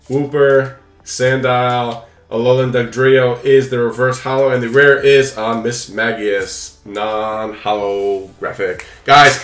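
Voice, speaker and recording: A man's voice: medium pitch, fairly bright tone, roomy sound. A young man chatting casually and playfully as he hosts a card-opening video, talking to viewers and his pet. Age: young adult. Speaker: host of a card-opening channel. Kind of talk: casual chat, running commentary on opening trading cards. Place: indoors, small room at home.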